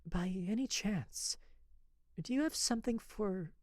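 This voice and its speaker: Soft, high-voiced young lady, put on by a narrator. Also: no echo, clean studio sound, close to an everyday voice. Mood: nervous, hushed. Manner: gentle, hesitant, unhurried. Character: soft-spoken, unhurried young well-dressed lady customer.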